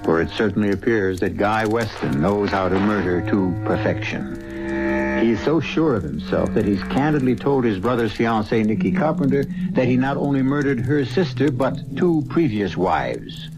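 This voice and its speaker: A man hosting and narrating a radio mystery drama in an ominous, measured, old-time dramatic style. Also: fairly low pitch, animated, low-quality recording. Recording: music under the voice. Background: music.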